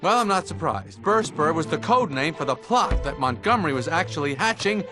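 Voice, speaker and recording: A man voicing a flustered, talkative young male bee in an animated comedy game. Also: on the high side, a little fast, over music. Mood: insistent.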